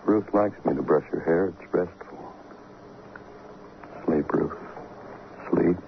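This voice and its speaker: An actor playing a distracted, tender yet curt husband accused of killing, in an old-time radio drama. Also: male voice, sits low, slow pace, vocal fry, exaggerated voice. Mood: tender, detached. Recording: noisy, dull vintage radio recording.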